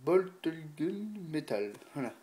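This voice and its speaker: A man's voice: on the high side, sing-song, takes it slowly, dark tone, husky. A man with a stuffy, blocked nose from a cold, unhurriedly talking through a painting tutorial and thinking aloud with many fillers. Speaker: miniature painting hobbyist.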